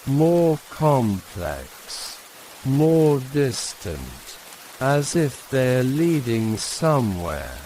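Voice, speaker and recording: A man narrating a sleep story in a calm, hushed, soothing manner, leaving long pauses between short phrases. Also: medium pitch, low-quality recording. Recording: steady rain and hiss behind voice. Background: rain.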